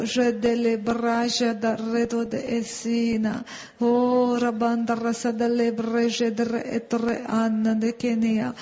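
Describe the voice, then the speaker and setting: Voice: medium pitch, a little mellow, lo-fi sound. A prayer leader praying fervently in an incantatory, repetitive way, slipping between words and chanted speaking in tongues.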